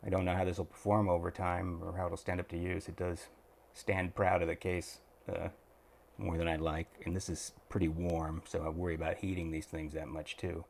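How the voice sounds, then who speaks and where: A man with a low-pitched voice hosts a casual repair tutorial. He talks in halting phrases, musing to himself as his hands are busy. The delivery is unscripted and practical. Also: flat delivery, creaky, a little hiss or hum. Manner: halting, musing aloud while working. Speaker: host of a repair tutorial channel. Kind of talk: casual hands-on how-to commentary.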